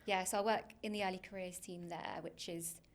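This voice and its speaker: A woman in her twenties from Leicester with a faded Leicester accent, chatting casually as a podcast guest, with many ums and a habit of trailing off quietly. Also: on the low side, fairly even intonation, brisk. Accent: faded Leicester English. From Leicester, England. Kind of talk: casual interview chat.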